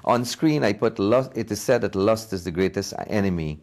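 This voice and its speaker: A man's voice: lowish voice, normal speed, a little mellow. A man being interviewed as a filmmaker, answering earnestly and conversationally, repeating phrases for emphasis. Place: TV studio.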